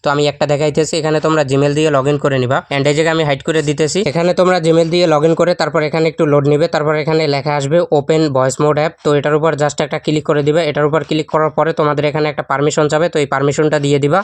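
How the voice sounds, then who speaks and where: A man races through a how-to voice-over at a very fast pace. His delivery stays level with little melody, and his voice is clean and smooth. He speaks straight to viewers, guiding them step by step. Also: a little high, flat delivery, no room sound. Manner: addresses viewers directly, step by step. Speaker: YouTube tutorial host. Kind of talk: instructional screen-recording voice-over.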